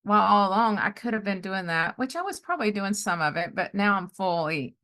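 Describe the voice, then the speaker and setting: A woman's voice: medium pitch, expressive, a little fast, bright, forceful tone. A woman in her sixties hosting a casual interview, talking in an encouraging, affirming way. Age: 60s. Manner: encouraging, responds often with affirmations. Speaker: podcast host, life coach.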